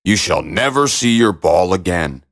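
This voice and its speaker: A man voicing a polite but insistent warty frog in a storybook, croaking and speaking in a formal, measured way. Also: deep voice, expressive, a bit bright, pitched below the performer's own voice. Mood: bargaining. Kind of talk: storybook character voice.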